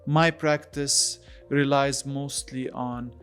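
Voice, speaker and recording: A man's voice: mid-range voice, fairly even intonation, takes it slowly, fairly bright tone. Man explaining over faint music. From Lebanon. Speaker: interview guest, plastic surgeon. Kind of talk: conversational podcast interview.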